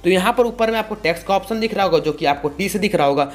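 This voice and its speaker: High-pitched young man, tutorial host. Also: racing. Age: 20s. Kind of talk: casual video-editing tutorial.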